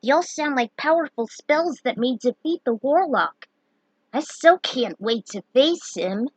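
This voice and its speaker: A woman voicing an excitable young fantasy adventurer in an audiobook story, gushing in exclamations. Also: high-pitched, unhurried, husky, no room sound, pitched above the performer's own voice, exaggerated voice. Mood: eager.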